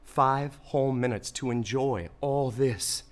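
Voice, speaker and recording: A man's voice: medium pitch, slow pace, lightly acted. An actor playing a melodramatic, dying man of faith in a comic audio play, given to exclamations and big emotional swings. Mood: awestruck. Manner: melodramatic, exclamatory, wailing and gushing by turns. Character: dying man of faith in desert, theatrical, swings panic to wonder.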